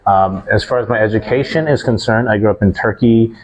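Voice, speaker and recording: A man in his forties with a lowish voice speaks as a podcast guest. He talks like an educator, thoughtful and measured. He weighs each point before moving on. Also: rough recording. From United States. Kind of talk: casual podcast interview.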